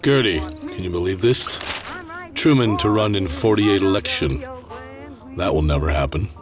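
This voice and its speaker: A man's voice: low-pitched, normal speed, over music, natural voice. A man with an American accent acting a pompous, scoffing politician of mature years in a radio drama. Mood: scoffing, smug. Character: self-important, scoffing politician uncle, middle-aged or older.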